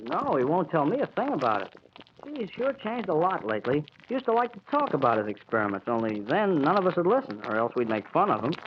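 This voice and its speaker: A breezy, slangy teenage boy, as a radio actor plays him in an old horror drama. His pitch bounces lively up and down. The recording is dull and muffled. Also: quick pace, slight background noise, lightly acted. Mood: casual. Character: teenage son, casual and cheerful, slangy. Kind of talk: 1940s radio drama acting.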